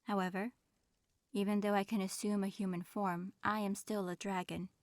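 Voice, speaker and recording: A woman playing a proud, reserved dragon in human form, speaking formally and with measured aloofness in an audio roleplay. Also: medium pitch, flat delivery, darkish tone, dry recording, clean studio sound, close to an everyday voice. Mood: aloof, reserved. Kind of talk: audio roleplay acting, addressing the listener.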